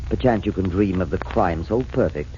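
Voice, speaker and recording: An actor playing a suave gentleman crook with formal, silky phrasing in a 1940s radio detective drama. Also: sits low, animated, fairly quick. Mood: silky, menacing. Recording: muffled, noisy 1940s radio recording.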